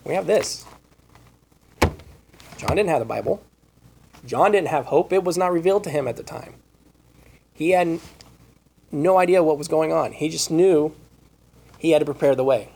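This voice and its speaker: A man preaching a Bible study sermon, reading scripture aloud and asking rhetorical questions, with his voice going hoarse. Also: a little high, normal speed, long pauses, darkish tone. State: losing his voice.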